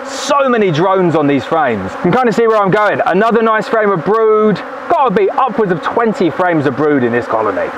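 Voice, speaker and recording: Chatty high-voiced beekeeper outdoors. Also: male voice, very animated, a little fast, few pauses, slight background noise, low-quality recording. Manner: chatty, upbeat, talks while working with the hive. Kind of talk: casual hands-on video narration. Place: outdoor apiary at a forest edge, in the evening. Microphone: camera on a tripod at a distance, wearing a bee suit veil. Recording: faint outdoor bee buzz. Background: faint outdoor buzzing of bees.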